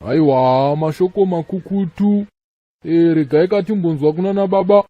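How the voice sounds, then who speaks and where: A man's voice: fairly high pitch, moderate pace, dry recording, natural voice. A man playing a polite debate moderator in a comedy cartoon, speaking Shona on a dull recording. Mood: courteous.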